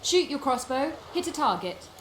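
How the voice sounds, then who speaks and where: A woman voicing a brisk, sly female circus leader in a video game, theatrical, haughty and sharp-witted. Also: high voice, big pitch swings, a little hiss or hum. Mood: shrewd, businesslike. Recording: echoey game scene with music.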